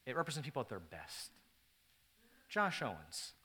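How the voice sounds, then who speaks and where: A man preaching a sermon to his congregation, moving between loud, emphatic stretches and hushed, earnest ones, with joking asides to the room. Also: medium pitch, very animated, moderate pace. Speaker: pastor.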